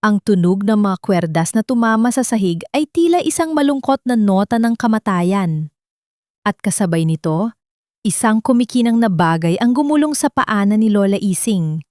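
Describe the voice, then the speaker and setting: A woman's voice: mid-range voice, darkish tone, no room sound, studio quality. A woman with a Filipino accent reading a scripted story as a dramatic audiobook narrator.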